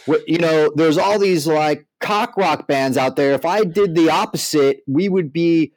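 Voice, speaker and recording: A man's voice: on the high side, measured pace, a little mellow, dry recording, poor audio. A man co-hosting a podcast, moving between reading aloud and casual commentary. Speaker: podcast co-host.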